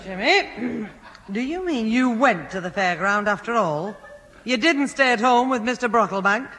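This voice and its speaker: A woman's voice: on the high side, animated, a little slow, fairly bright tone. A woman playing a firm, questioning middle-aged mother in an old radio sitcom. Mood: suspicious. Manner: firm, inquisitive. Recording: echoey, hissy old radio recording.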